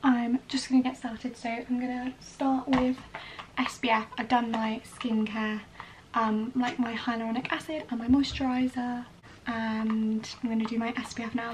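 A young woman from Wales chatting casually to camera in a get-ready-with-me vlog, recorded in a bedroom. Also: fairly high pitch. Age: young adult. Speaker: vlogger, ballet dancer in training. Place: small bedroom indoors. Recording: slightly distant mic, clearly noisy room.

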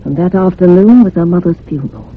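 An actress playing an older, sorrowful village housekeeper in a radio drama, confiding in rustic, old-fashioned turns of phrase. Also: female voice, lowish voice, fast, natural voice. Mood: anguished, confiding. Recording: muffled phone-like radio recording with hum.

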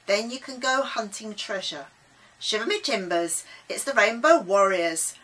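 A woman voicing a genial, weather-beaten old sailor man in a children's story, booming out jovial pirate talk. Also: lively intonation, measured pace, a bit bright, low-quality recording. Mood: hearty, welcoming.

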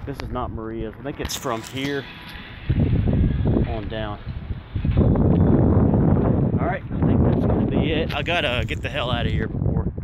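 Vlogger, man in his 40s, phone-filmed walkabout, middle-pitched, wry offhand chatter, heavy outdoor wind and traffic noise. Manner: rambling, wry asides to viewers. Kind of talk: casual walking commentary. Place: outdoors on an empty demolished lot. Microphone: handheld phone camera.

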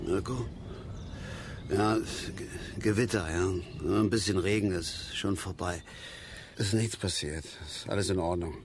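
An actor playing a gruff, stubborn middle-aged father and angler in a radio drama, talking colloquially and haltingly. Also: low-pitched. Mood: sheepish, grumbling. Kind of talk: German radio drama acting. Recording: noisy outdoor ambience behind the voice.

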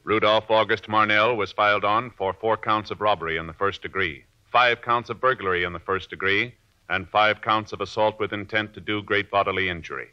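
An American man announcing in a formal, measured 1950s radio style from a script. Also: lowish voice, steady tone. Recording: dull vintage 1950s radio recording.

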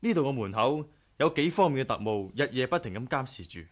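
A man's voice: medium pitch, animated, quick pace, natural voice. Man acting a loyal, cautious aide. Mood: cautious, urgent. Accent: Hong Kong Cantonese. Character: loyal, cautious male aide, devoted to his leader. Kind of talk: 1980s radio drama acting.

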